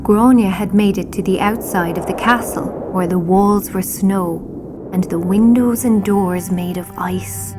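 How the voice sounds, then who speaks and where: A woman with an Irish accent narrating a children's story from a script in a measured way, hushing at the ends of phrases. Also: medium pitch, noisy background. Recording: clear music behind.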